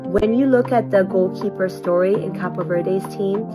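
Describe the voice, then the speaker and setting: A woman's voice: mid-range voice, moderate pace. A woman in her thirties from Canada, looking back on a performing tour in a heartfelt, motivational personal vlog. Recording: music playing underneath.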